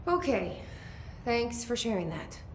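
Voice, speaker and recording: An actress playing a guarded young woman in a video game, measured and humoring as she questions someone. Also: on the high side, lively intonation. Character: guarded, patient young woman questioning her disturbed brother. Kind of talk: video game dialogue acting. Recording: roomy echo, faint noise.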